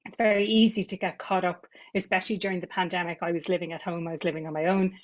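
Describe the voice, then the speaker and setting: A woman's voice: on the low side, steady tone, quick pace, dull audio. A woman, a podcast guest talking reflectively, often repeating words as she gathers her thoughts. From Ireland. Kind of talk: conversational podcast interview.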